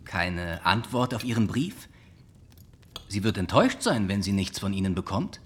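An actor in a German radio play playing an ardent, charming young gentleman suitor, courteous and insistent. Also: lowish voice, sing-song, moderate pace, breathy edge. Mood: coaxing.

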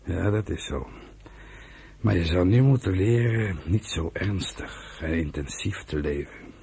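A weary old underground mentor in a radio play. He speaks very slowly in a deep, gravelly voice, reflective and calming. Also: exaggerated voice. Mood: patient, reflective. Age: older. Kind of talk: radio drama acting.

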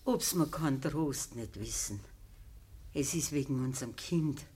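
Radio play casting: frail Bavarian farm mother on her deathbed, lowish husky voice, humble, sorrowful, deferential. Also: a little mellow, full character voice. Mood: timid, pleading. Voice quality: husky, weak. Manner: halting, deferential. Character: dying peasant mother, humble, sorrowful, devoted. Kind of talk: naturalistic dialect radio play acting.

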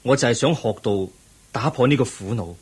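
Radio drama lead: a world-weary young prince, Hong Kong Cantonese accent, markedly husky, reflective and formal. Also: male voice, mid-range voice, brisk. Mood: calm, resolute. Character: pensive young crown prince, weary of palace pleasures.

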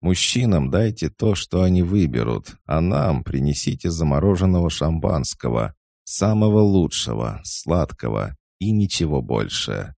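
A bubbly young woman eager to celebrate, put on by a man reading a novel aloud. Her exclaiming voice rides well above his natural low, gravelly register. The recording is dry and close. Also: measured pace, dry recording, full character voice. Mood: excited. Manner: excited, exclaiming.

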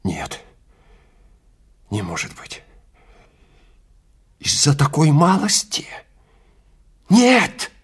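A man playing a horrified, disbelieving time traveler in an audio drama, speaking in anguished, halting outbursts. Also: fairly high pitch, huge pitch swings, breathy edge, pitched above the performer's own voice, exaggerated voice. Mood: horrified disbelief.

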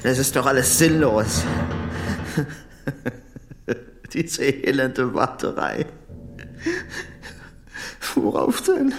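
Obsessive lovesick man, swinging pitch. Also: big pitch swings, drawn-out words, pauses often, music in the background, full character voice. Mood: brooding, desperate. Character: obsessive, lovesick, delusional man, insistent and agitated. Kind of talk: radio drama acting.

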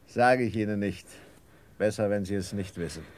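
An actor playing a reckless, secretive stranger in a radio drama, talking in an offhand way. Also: male voice, on the low side, takes it slowly. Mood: careless. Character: reckless, evasive stranger driving through fog.

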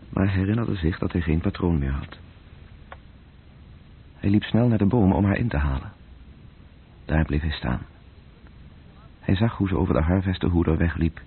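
A man narrating a literary radio drama in terse, measured phrases, with a gravelly, breathy voice. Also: low voice, a little hiss or hum. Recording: muffled, tinny old radio-play recording.

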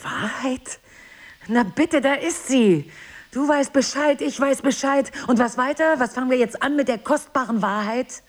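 An actress playing a middle-aged wife, proud and guilt-ridden, in an intense, emotional stage-play dialogue. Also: female voice, sits high, measured pace. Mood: defiant, bitter.

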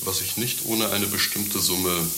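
A scheming, money-minded servant in radio satire. He has a deep voice and lectures with sardonic, crude condescension. The sound is roomy, with a light hiss behind it. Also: fairly even intonation, a little slow, sharp tone, exaggerated voice. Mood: scolding, scheming. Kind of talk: satirical German radio play acting.

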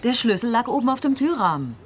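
A woman's voice: on the high side, sing-song, fast talker, a little hiss or hum. An actress playing a direct, guarded female politician in a radio crime drama, speaking bluntly.

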